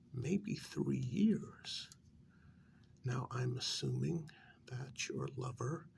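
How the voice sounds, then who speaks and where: A performer acts out a patient, probing official in an ASMR role-play. The voice is a soft half-whisper, heavy with gravelly fry. Every phrase comes very slowly and deliberately, with gentle reproach. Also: medium pitch, heavily acted. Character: patient, probing official questioning a renegade vampire.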